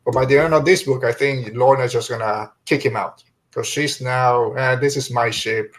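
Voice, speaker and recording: A man hosting a podcast in a casual fan chat, talking in a halting, rambling way with trailing thoughts. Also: mid-range voice. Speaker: podcast host.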